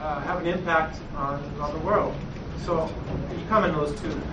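A man's voice: fairly high pitch, fairly even intonation, moderate pace, crowd noise. A man in an audience asking a rambling question in a lecture hall, stumbling over restarts. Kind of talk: question from the floor. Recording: echoey hall, voice from far off, background noise.

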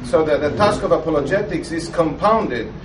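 A Romanian man poses a halting question from the audience, slightly high-pitched and full of pauses. His voice carries from a distance through a reverberant hall. Steady background noise sits under it. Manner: hesitant, many fillers. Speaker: audience member asking a question. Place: lecture hall.

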